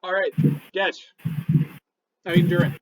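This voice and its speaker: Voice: medium pitch, level tone, a little slow, dry recording, low-quality recording. A game master chatting casually and teasing the players in a playful, crude way while running a tabletop game.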